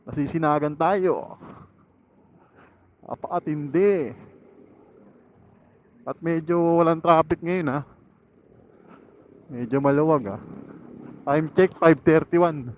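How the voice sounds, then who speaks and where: A Filipino man casually vlogging to himself while riding, in a laid-back, musing way, speaking into a helmet intercom. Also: on the high side. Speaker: motorcycle vlogger. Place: outdoors, riding a motorcycle on a city road. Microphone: motorcycle helmet intercom mic. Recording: dull helmet intercom audio, faint road noise.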